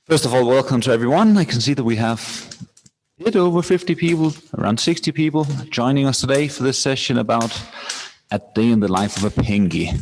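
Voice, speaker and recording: A man's voice: mid-range voice, lively intonation, brisk, darkish tone. A man with a Danish accent hosting an informal webinar, presenting to an online audience. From Denmark. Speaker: webinar host, marketing manager. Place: indoors at a scout centre. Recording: echoey room, clear background noise.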